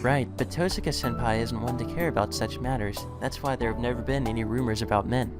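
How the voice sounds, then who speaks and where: A man's voice: mid-range voice, fast. A man hosting a let's play, reading visual novel text aloud with dramatized lines and casual asides. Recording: game music behind the voice. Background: visual novel game music.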